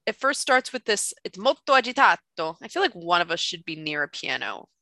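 A young woman co-hosting a casual opera podcast, animated and self-mocking, talking into a laptop on a call. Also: fairly quick, no echo. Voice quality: deep for a woman. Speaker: podcast co-host. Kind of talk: casual chat about opera. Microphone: computer mic on video call.

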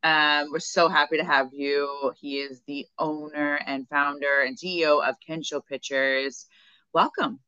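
A woman's voice: deep voice, steady tone, unhurried, sharp tone, smooth, no echo. A woman hosting a podcast, gushing and enthusiastic, filling her speech with "like" and "you know". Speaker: podcast host. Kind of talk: casual interview chat. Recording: dull dry lo-fi mic.

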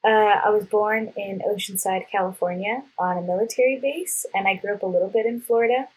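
A young woman from Texas introducing herself on a podcast, steady and matter-of-fact. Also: mid-range voice, a little fast, slight background noise, poor audio. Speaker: podcast guest.

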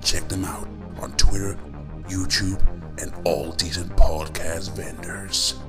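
A man's voice: low voice, fairly even intonation, takes it slowly. A man reading a scripted promo in a dramatic, portentous movie-trailer style. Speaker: promo announcer. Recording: loud music under voice. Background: loud music.

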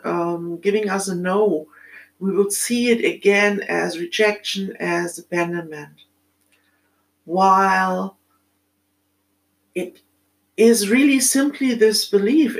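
A woman with a German accent muses aloud at an unhurried pace, halting often with soft fillers. Her voice sits at a middling pitch for a woman, and she sounds middle-aged and reflective. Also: low-quality recording. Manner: thoughtful, halting, with many pauses and fillers. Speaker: podcast host. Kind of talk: reflective solo monologue.

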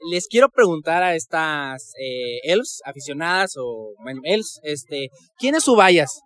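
A man's voice: fairly high pitch, sing-song, a little slow. A man doing casual, polite street interviews as a podcast host, phrasing his questions a little hesitantly. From Ciudad Juárez, northern Mexico. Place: outdoors in a concert queue. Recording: outdoor recording amid loud crowd chatter.

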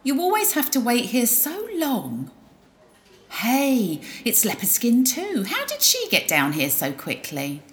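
A woman's voice: on the high side, wildly swooping pitch, slow. A woman narrating a drama in a wry, gossipy first-person voice.

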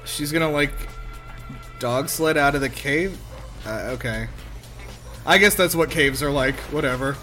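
A man's voice: fairly high pitch, unhurried, long pauses, pushed and bright. A man talking casually and informally as a podcast co-host, giving film commentary. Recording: music behind the voice.

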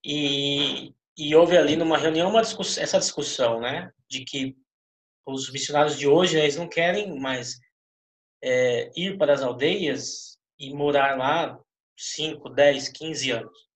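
A man with a Brazilian accent in a casual interview discussion, talking haltingly as he rephrases and poses questions, recorded with a roomy echo. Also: a little high. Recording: roomy, echoing sound.

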